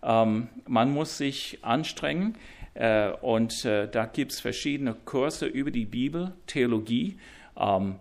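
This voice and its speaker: Slow, gravelly man with English accent. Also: medium pitch. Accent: English-speaking. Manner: halting, many fillers and restarts; self-deprecating humor. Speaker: guest preacher. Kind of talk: sermon introduction. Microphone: wireless mic.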